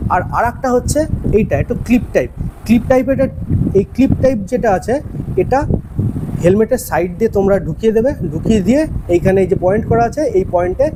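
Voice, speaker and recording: A man's voice: high-pitched, expressive, brisk, noisy background. A man with a West Bengal Bengali accent giving a casual unboxing review, explaining things directly to viewers. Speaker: vlogger and product reviewer.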